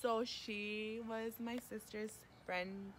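A woman's voice: medium pitch, flat delivery, takes it slowly. A woman in her twenties in casual vlog chat, slangy and profane, slipping into Spanish, recorded outdoors. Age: 20s. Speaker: vlog co-host. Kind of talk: casual chat answering questions. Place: outdoors by a parking area. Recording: noisy outdoor ambience.

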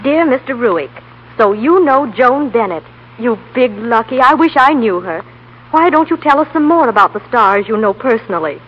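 A woman's voice: fairly high pitch, expressive, normal speed, slightly rough. An American woman presenting scripted 1930s radio advertising dialogue. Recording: muffled 1930s radio broadcast.

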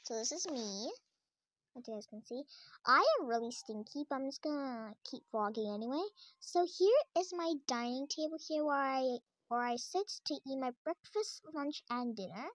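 A young girl with a high voice chats her way through a tour of her game house, rambling and restarting often. She films the tablet screen from close up in a dry, close-sounding room. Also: moderate pace, a bit bright, no room sound. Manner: chatty, rambling, many fillers and restarts. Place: indoors, filming a tablet screen.

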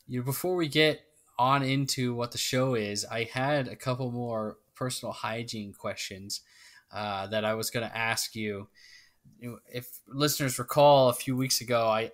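A man's voice: mid-range voice, fairly even intonation, moderate pace, a bit bright. A man co-hosting a casual podcast, talking in a rambling way and often restarting his sentences. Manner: rambling, self-interrupting. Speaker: podcast co-host. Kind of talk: casual chat.

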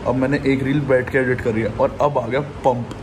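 A man's voice: medium pitch, steady tone, moderate pace. A young man chatting casually as a vlogger in a small bedroom, filming on a phone. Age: young adult. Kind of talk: casual vlog chat. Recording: noisy room ambience.

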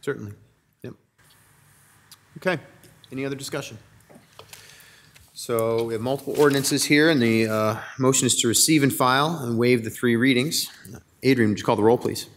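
A man chairing a city council meeting as mayor, polite and brisk with procedural lines, at a desk microphone in a council chamber. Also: mid-range voice.

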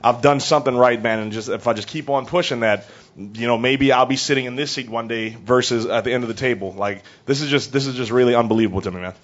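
A young man speaks from a press conference stage, nervous and excited, tripping over restarts as he rambles on. The audio is dull and lo-fi, with a faint hiss under it. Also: medium pitch, quick pace.

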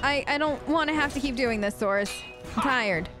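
A woman's voice: high-pitched, moderate pace, loud music behind the voice. A woman doing casual, improvised role-play commentary on a game, flippant and chatty, joking in character. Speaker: let's play host.

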